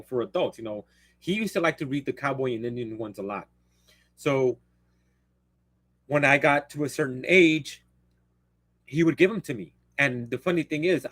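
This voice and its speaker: A man's voice: medium pitch, fairly bright tone, dry recording. Rambling man, dry close sound. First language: Spanish. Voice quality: rambling, self-interrupting, blunt. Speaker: livestream host. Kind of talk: casual live-stream chat.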